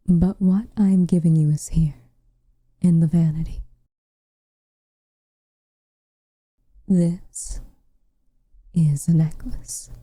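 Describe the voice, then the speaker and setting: A woman playing a tender, protective witch with a dry teasing streak in an intimate ASMR roleplay, speaking softly and breathily, close to the mic. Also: fairly low pitch, no room sound. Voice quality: soft, breathy, near-whispered. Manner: gentle, measured, quietly teasing. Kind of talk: intimate ASMR roleplay, scripted character acting. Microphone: close studio mic, dry.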